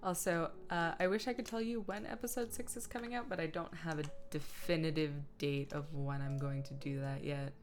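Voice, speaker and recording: A woman's voice: low voice, fairly even intonation, brisk, hardly any pauses. A woman streamer chatting casually while she works at a computer, talking through small tasks in short asides. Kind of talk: casual livestream chat while editing images.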